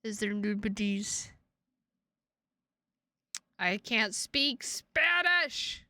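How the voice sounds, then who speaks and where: A woman live streaming games, chatting casually with viewers in an excitable, sweary way and repeating her phrases for emphasis. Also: mid-range voice, drawn-out words, no echo. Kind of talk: casual livestream chat with viewers.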